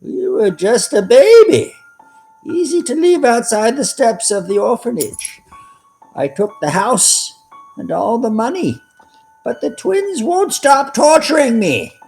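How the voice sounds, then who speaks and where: Raspy, tormented ancient villainess, wildly swooping. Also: female voice, a little high, very animated, dark tone, faint music behind, heavily acted, poor audio. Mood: anguished, confessing. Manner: slow, theatrical, anguished delivery. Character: hundred-year-old guilt-ridden villainous great aunt, feverish and tormented. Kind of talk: gothic audio drama acting.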